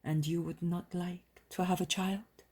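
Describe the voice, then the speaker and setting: A woman reading the lines of a calm, commanding male holy healer in a solemn storybook voice. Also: on the low side, measured pace, slightly rough.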